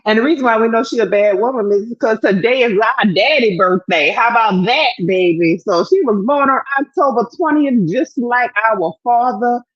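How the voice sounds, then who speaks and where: A middle-aged woman co-hosting a live talk stream, animated and playful, laughing often. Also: a little high, normal speed, hardly any pauses, lo-fi sound. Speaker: co-host. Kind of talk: lively live-stream chat.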